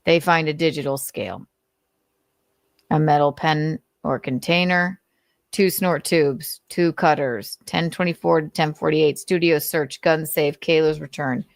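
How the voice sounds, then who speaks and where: A woman's voice: sits low, steady tone, measured pace, creaky, dry recording, clean studio sound. A woman reading notes aloud with casual, sardonic commentary, swearing freely and talking conversationally. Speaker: host of a commentary show.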